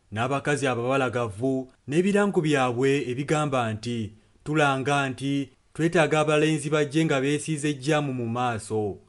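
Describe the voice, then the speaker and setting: A man interpreting a preacher's sermon and prayer phrase by phrase. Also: medium pitch.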